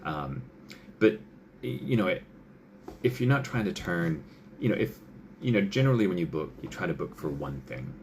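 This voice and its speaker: A man with a very low voice and a gravelly edge to it, talking as a doctor. He chats loosely, with lots of fillers, and slips into little acted-out lines of dialogue. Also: big pitch swings, moderate pace, long pauses, a little mellow, a little hiss or hum. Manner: chatty, many fillers, acts out quoted patient and doctor lines. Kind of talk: casual advice talk.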